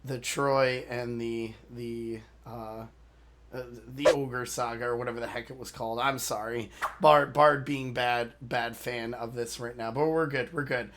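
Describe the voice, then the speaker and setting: Man, hoarse voice, middle pitch, casual chat to viewers, rambling, apologetic. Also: steady tone, unhurried, fairly bright tone. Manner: rambling, apologetic, loses his train of thought. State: hoarse from a lost voice. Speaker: reaction channel host.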